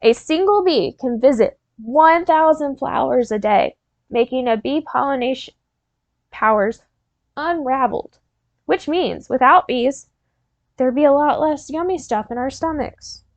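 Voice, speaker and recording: A woman reading a picture book aloud as a teacher, pausing to prompt and encourage young listeners in an instructional way. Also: high voice, no room sound. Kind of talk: interactive read-aloud, storytelling with questions to young listeners.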